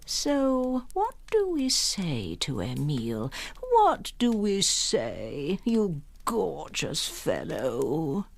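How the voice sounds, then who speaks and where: A woman's voice: low voice, huge pitch swings, extremely slow, creaky, few pauses, exaggerated voice. An actress in a radio drama playing a genteel, theatrical woman in her sixties with a coy, needling temper. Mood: coy, spiteful. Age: 60s. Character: genteel older wife, coyly spiteful, theatrical, needling.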